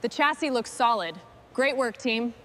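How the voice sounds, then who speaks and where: A woman leading a restoration crew, giving calm, clipped instructions in scripted workshop dialogue. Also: on the high side, moderate pace, very noisy.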